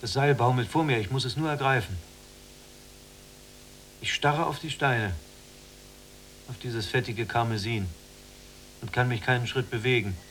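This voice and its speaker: An actor playing a restless, dreamy younger prisoner longing for escape in a radio play, plain and measured. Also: male voice, mid-range voice, a bit bright, slight background noise, natural voice, low-quality recording. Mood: dreamy, haunted. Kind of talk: literary radio play acting.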